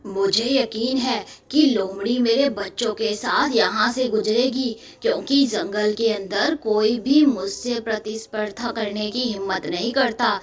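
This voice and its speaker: A woman's voice: medium pitch, unhurried, few pauses, lightly acted. Echoey, distant resolute cartoon lion, woman voicing. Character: watchful confident male lion king and worried father.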